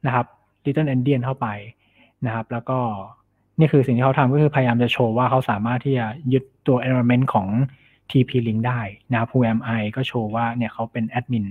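Man, mid-pitched voice, informal tech talk, explanatory, English jargon mixed in, tinny online-call audio. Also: fairly even intonation, normal speed. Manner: explanatory, peppers Thai with English technical terms. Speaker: cybersecurity specialist presenting. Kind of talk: informal technical presentation. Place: online meetup over slides. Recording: thin phone-like online meeting audio.

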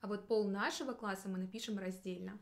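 Fast-talking young woman tutoring. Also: medium pitch, steady tone, vocal fry. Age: 20s. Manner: chatty, encouraging. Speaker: Russian language teacher. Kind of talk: casual explanatory tutoring chat. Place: small indoor studio.

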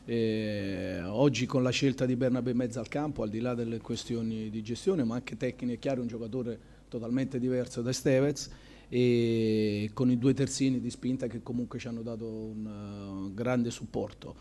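A man in his forties, a football coach, answering questions at a post-match press conference in a measured, thoughtful way, with many pauses. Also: medium pitch, steady tone, dark tone, a little hiss or hum. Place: press room in front of a sponsor backdrop. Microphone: table microphone.

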